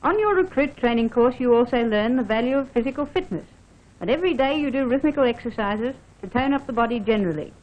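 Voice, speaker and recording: A woman with a high voice narrates an air force training film. Her delivery is scripted, formal and instructive, speaking straight to the listener. The sound is dull and narrow, like an old film soundtrack. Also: expressive, fast talker. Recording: dull band-limited vintage film soundtrack.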